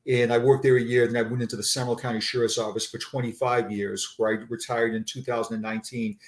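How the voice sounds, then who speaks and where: A man's voice: medium pitch, level tone, quick pace. An older man with a Boston accent, a retired police sergeant talking as a guest in an unscripted interview, earnest and often repeating and restarting his words. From Boston area, USA.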